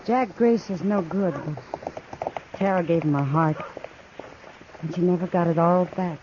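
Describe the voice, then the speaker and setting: A woman's voice: fairly low pitch, unhurried, lightly acted. An American actress playing a caring, wistful frontier woman in a 1950s radio western, plain and heartfelt. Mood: wistful, concerned. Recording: muffled, noisy 1950s radio recording.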